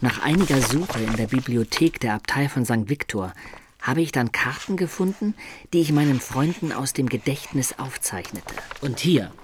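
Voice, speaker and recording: An actor playing a lovesick young medieval student who recounts his life in a poetic, measured radio drama style, with hushed asides. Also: medium pitch, animated, slight background noise, natural voice. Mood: reminiscing. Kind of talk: literary radio drama narration.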